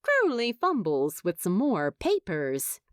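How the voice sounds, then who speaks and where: A woman's voice: mid-range voice, wildly swooping pitch, takes it slowly, smooth, dry recording, clean studio sound. A woman narrating a scripted story expressively, as an audiobook reader would. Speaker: fan fiction narrator.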